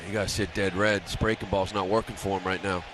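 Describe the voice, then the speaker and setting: A man's voice: low-pitched, level tone, fast. A man giving informal color commentary on a radio baseball broadcast, talking colloquially with slang and nicknames. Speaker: color analyst. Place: ballpark broadcast booth. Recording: radio broadcast with loud ballpark crowd noise.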